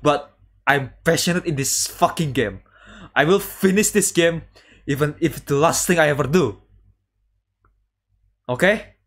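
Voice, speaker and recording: A man streaming a visual novel, reading dialogue aloud haltingly and adding casual commentary. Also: fairly high pitch, a bit bright. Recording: music playing behind the voice. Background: guitar game music.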